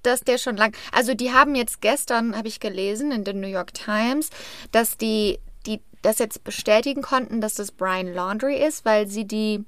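A woman chatting casually as a podcast host, in an animated, jokey, informal way. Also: mid-range voice, normal speed, no room sound, clean studio sound.

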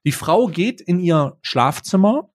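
A young man tells a wild story on a podcast, animated and slangy, tripping over his own restarts. His voice sits a little higher than most men's. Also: slow. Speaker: podcast co-host. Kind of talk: casual storytelling.

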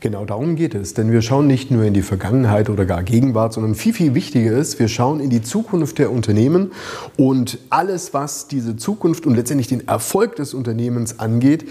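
A man's voice: medium pitch, expressive, a little fast, dark tone. A man hosting a business podcast, friendly and teasing, steering the talk with questions. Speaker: podcast host.

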